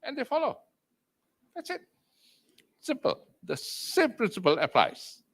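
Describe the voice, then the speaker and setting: An older man with a Malaysian accent talking as an interview guest, loud and emphatic, dropping in Malay words and leaping to high exclamations. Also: huge pitch swings, unhurried, creaky, breathy edge. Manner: mixes in Malay words and phrases. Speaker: entrepreneur and executive chairman, interview guest. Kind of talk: interview over video call.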